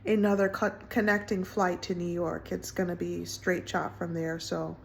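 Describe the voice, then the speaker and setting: A woman in her thirties talking in a casual personal vlog from home, soft-spoken and rambling with many fillers. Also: fairly low pitch, level tone, moderate pace, creaky, a little hiss or hum. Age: 30s. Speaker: vlogger. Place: indoors at home, window closed.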